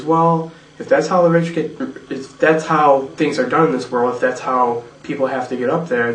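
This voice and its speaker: A man's voice: medium pitch, a bit bright, far from the mic. A man who acts in a radio play, talking casually and thoughtfully about his role in an interview. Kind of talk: casual behind-the-scenes interview. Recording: roomy, away from the mic.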